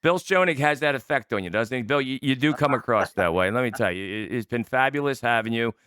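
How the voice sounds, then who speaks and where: A man's voice: mid-range voice, fast talker, a bit bright, smooth, no room sound, studio quality. A middle-aged man casually hosting an interview, effusive and complimentary toward the people he talks with. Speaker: talk show host.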